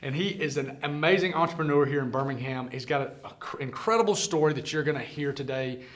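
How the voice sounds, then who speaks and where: A man's voice: on the high side, fast talker, a bit bright. A man hosting a casual interview, talking in an effusive, chatty and informal way. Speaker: interview host.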